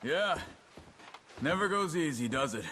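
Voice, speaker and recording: An actor playing a sober, low-key Old West gang gunman in a video game cutscene, speaking tersely. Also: lively intonation, unhurried. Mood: subdued, weary. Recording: clear noise behind the voice.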